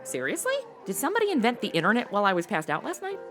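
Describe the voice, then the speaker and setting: A woman's voice: medium pitch, wildly swooping pitch, rapid-fire, a bit bright, faint music behind. An actress playing a flippant, self-absorbed modern young woman in a comedy audio drama, breezy, sassy and slangy. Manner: sassy, breezy, slangy, valley-girl phrasing.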